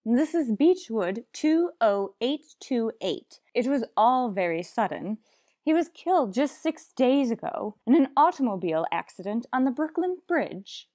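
Grave, formal woman with a higher voice acted. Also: very animated, measured pace, dark tone, no room sound, clean studio sound. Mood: grave, polite. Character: formal, matter-of-fact woman answering a household telephone. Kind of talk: old-time radio drama acting.

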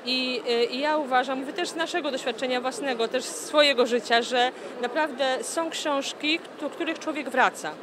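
A woman's voice: sits high, normal speed, hardly any pauses, a crowd in the background. A woman in her thirties, a nun, answering an interview at a book fair stand, earnest and full of hesitant fillers. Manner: earnest, many "e" fillers and "tak?" tags. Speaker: nun from a publishing house. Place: busy indoor book fair hall. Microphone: handheld interview mic. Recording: noisy book fair hall.

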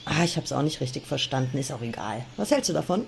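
A bossy, mocking young-world Eve type in a comic radio play, acted by a woman. Her voice sits on the low side with a gravelly creak. She teases and needles, over faint birdy background noise. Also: expressive, fast. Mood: bossy, persuasive. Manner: sassy, teasing. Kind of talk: comedic audio drama dialogue.

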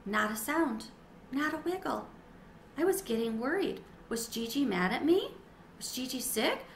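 A middle-aged woman giving an expressive, dramatized read-aloud of a children's story. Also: high voice, measured pace. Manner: animated, dramatizing. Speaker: storybook reader. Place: small sunroom with windows, indoors. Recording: roomy echo, faint background noise.

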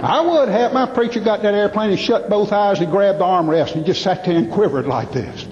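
A man with a Southern US accent telling humorous stories as a folksy speaker, pausing and drawing out his punchlines. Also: sits high, darkish tone, some chatter behind. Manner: folksy, drawn-out punchlines with pauses. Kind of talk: stand-up storytelling to a live audience. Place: large indoor hall with a live audience. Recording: muffled, echoey hall PA with some noise.

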